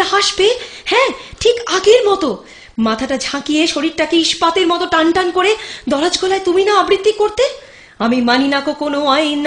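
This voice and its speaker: A woman's voice: very high voice, a bit bright. A woman acting a devoted young carer in an audio drama, speaking in a tender, coaxing and impassioned manner. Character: devoted young woman caring for her addicted beloved.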